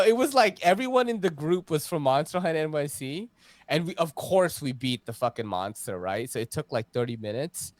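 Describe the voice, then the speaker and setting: A man in his forties telling a rambling gaming story on a casual podcast, swearing freely and breaking into loud laughter. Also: mid-range voice, no echo. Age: 40s. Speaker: podcast host.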